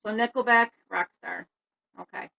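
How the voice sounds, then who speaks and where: A woman with a high-pitched voice, just woken up, chatting and laughing on a karaoke livestream, often away from the mic. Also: steady tone, normal speed, gravelly, bright, forceful tone. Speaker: karaoke co-host. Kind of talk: casual chat on a livestream.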